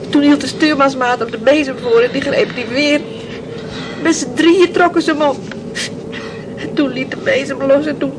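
A fisherman's daughter in an old radio drama, her voice high and full of grief. She speaks plainly and haltingly, and the recording is clearly noisy. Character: grieving fisherman's daughter remembering her drowned brother. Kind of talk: Dutch radio play acting.

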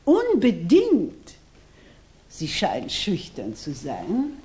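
An actress playing a grand, haughty salon madame in a radio drama, with an affected, languid and imperious manner. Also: female voice, fairly low pitch, huge pitch swings, extremely slow, dark tone, a little hiss or hum, exaggerated voice. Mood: languid, condescending.

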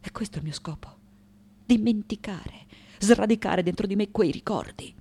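An actress playing an aged, eccentric female sculptor in a theatrical radio monologue, intense and dramatic, with a breathy edge when hushed. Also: on the low side, animated, measured pace. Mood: resolute, brooding. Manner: intense, dramatic, shifting between whispers and emphatic declamation.

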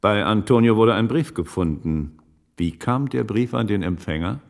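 An actor playing a shrewd, probing magistrate's investigator in a German radio play, with formal, old-fashioned questioning. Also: male voice, lowish voice, normal speed, gravelly. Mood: probing, composed. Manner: formal, archaic, questioning address.

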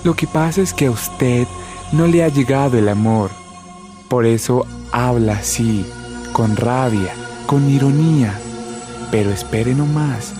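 A man acting as a mischievous Colombian Cupid in a radio comedy sketch. He talks slowly at a middling pitch, teasing and materialistic, with clear music playing behind him. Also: close to an everyday voice. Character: mischievous, materialistic male Cupid pushing his love arrows.

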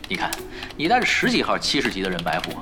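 An actor playing a laid-back, shameless veteran online gamer who needles others casually in a Chinese radio drama. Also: male voice, mid-range voice, wildly swooping pitch, quick pace, background noise. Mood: casual, teasing.

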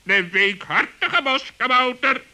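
A high, piercingly bright animal character in a children's radio play. He is haughty and brusque, with swooping, pompous delivery. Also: expressive, takes it slowly, full character voice. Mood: reproachful. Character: brusque, haughty male animal companion of a gnome.

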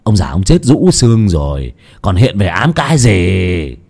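A man's voice: sits low, sing-song, measured pace, creaky, a little mellow, breathy edge, voice pushed down, exaggerated voice. A man voicing a rude, defiant grown son who talks with mocking contempt. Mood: scornful.

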